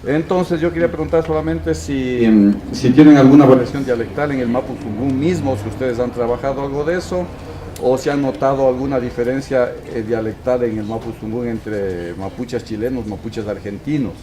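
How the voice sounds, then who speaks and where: A man's voice: mid-range voice, moderate pace, a crowd in the background. Man asking a question from the floor. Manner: searching, restarts and repeats phrases. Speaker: audience member asking a question. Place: conference hall. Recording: echoey hall, distant mic, noisy.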